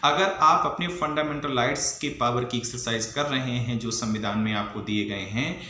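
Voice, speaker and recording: A man's voice: medium pitch, moderate pace, pushed and bright. An Indian man teaching in an emphatic, didactic lecture style, posing questions and mixing in English legal terms. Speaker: teacher for civil service exam students. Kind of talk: explanatory lecture with rhetorical questions. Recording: echoey room with faint background noise.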